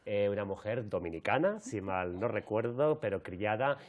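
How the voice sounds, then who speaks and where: A man with an accent from Spain hosting an interview, wordy and halting as he rephrases his questions. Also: fairly low pitch, very animated, darkish tone. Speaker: radio or TV show host. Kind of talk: live interview, introducing guests.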